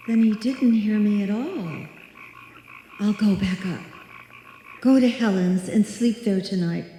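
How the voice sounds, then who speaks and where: A woman playing a frightened woman alone at night, talking haltingly to herself in a live radio suspense play. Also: mid-range voice, warm tone. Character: frightened woman walking home alone at night, self-reassuring. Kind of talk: live old-time radio suspense acting. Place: live stage performance in a hall. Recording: echoey hall, long reverb, faint noise.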